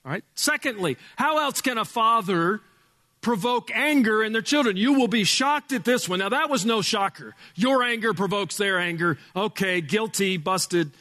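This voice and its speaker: A male pastor with a loud voice preaching a sermon in a church, emphatic, dropping from booming to hushed. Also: animated, moderate pace, long reverb tail. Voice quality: loud speaking voice. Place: church sanctuary with sound board.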